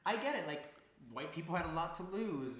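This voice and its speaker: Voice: medium pitch, expressive, fairly quick, a bit bright, reverberant. A podcast co-host in a casual discussion, telling stories conversationally and laughing easily.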